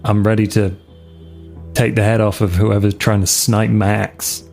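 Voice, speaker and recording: Slow-talking man playing his character. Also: lowish voice, little pitch movement, gravelly, a little mellow, faint music behind. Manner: hesitant, casual, with fillers. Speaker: player. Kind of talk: in-character roleplay dialogue.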